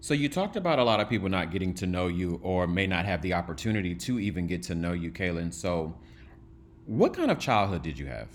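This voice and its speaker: A man's voice: low voice, very animated, a little fast, a little hiss or hum. A man hosting a conversational interview, speaking in an effusive, emphatic way. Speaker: talk show host, interviewer.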